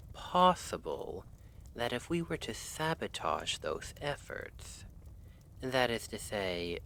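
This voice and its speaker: Man playing a slow, low-voiced lovestruck assassin. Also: fairly bright tone, a little hiss or hum, exaggerated voice. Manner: measured, formal, hesitant phrasing. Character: earnest, lovestruck male assassin from a noble house. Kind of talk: ASMR roleplay, scripted.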